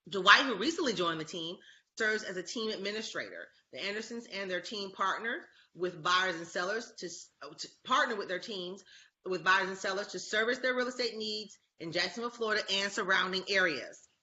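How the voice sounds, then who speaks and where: A woman's voice: medium pitch, animated, a little fast, bright, forceful tone, dull audio. A woman hosting an online talk show, enthusiastic and gushing as she reads a guest bio, her voice a little scratchy. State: slightly scratchy voice. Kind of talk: casual interview chat.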